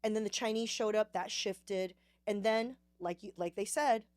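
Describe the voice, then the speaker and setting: A woman hosting a casual podcast chat, animated and quick to act out comic quotes. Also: mid-range voice, no echo. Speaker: podcast host.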